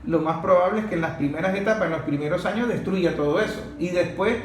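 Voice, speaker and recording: A man's voice: high voice, fast talker. A Venezuelan man hosting an interview, putting questions in a conversational but opinionated and insistent way. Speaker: interviewer, host. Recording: echoey room with faint noise.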